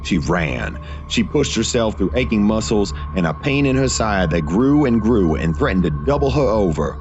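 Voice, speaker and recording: A man reading scripted gothic horror fiction as narrator, in a dramatic, deliberate storytelling manner with weighted pauses. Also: on the low side, expressive, vocal fry, dull audio, over music.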